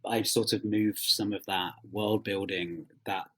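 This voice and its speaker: Husky-voiced man giving halting answers. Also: lowish voice, fairly even intonation. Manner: hesitant, halting phrasing with many trailing rephrasings. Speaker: writer, interview guest. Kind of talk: thoughtful interview answers.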